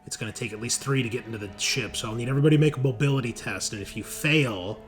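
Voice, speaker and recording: Male game master, mid-pitched and conversational, explaining tabletop rules over faint music. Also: very fast. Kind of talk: running a tabletop roleplaying game, casual.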